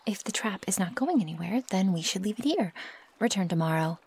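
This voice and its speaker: A woman playing a naive, impatient young werewolf in an ASMR roleplay, speaking softly and breathily in stilted, formal phrasing. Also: medium pitch, lively intonation, fairly quick, full character voice. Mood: impatient, grumbling. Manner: stilted formal phrasing without contractions, often breathy or near-whispered. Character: feral young female werewolf, naive, curious, impatient, possessive. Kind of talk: ASMR roleplay, intimate one-sided dialogue.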